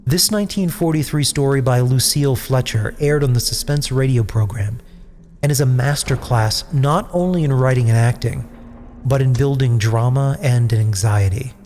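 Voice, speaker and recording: A man's voice: medium pitch, normal speed, a little mellow. A man hosting a podcast, giving scripted, dramatic storyteller narration to introduce classic radio plays. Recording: long hall reverb, faint noise.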